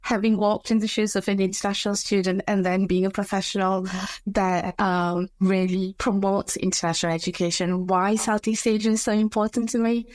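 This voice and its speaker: A woman from Malaysia in her fifties speaking as a panelist, lively and self-mocking. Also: medium pitch, fairly quick, no room sound.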